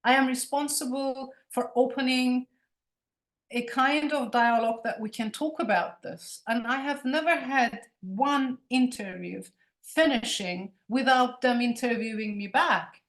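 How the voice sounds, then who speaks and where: A woman's voice: a little high, measured pace, fairly bright tone. A woman in her forties from Cyprus, a native Turkish speaker with a Turkish Cypriot accent, giving an online lecture in a conversational way. She speaks earnestly and emphatically, often repeating words as she searches for them. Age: 40s. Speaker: guest lecturer. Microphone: video call.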